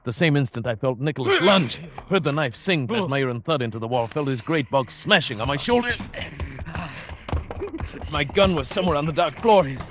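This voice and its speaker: An actor playing a tense, determined adventurer who narrates his own peril in an old radio drama, dramatic and deliberate. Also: fairly high pitch, animated, fairly bright tone, a little hiss or hum. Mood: frantic. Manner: dramatic, deliberate, suspenseful delivery. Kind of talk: old-time radio drama acting, first-person narration. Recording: vintage radio recording with music behind.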